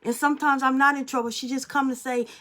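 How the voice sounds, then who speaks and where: A woman's voice: high-pitched, little pitch movement, quick pace, sharp tone. A young adult woman speaking in a casual spiritual monologue as a spiritual reader, blunt and emphatic, swearing freely.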